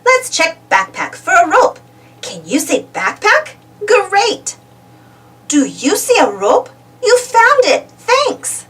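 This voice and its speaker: Woman voicing an eager, high-pitched little girl adventurer. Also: huge pitch swings, slow pace, fairly bright tone, distant mic, exaggerated voice. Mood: happy. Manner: exclamatory, asks the listener questions. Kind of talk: children's storybook read-aloud.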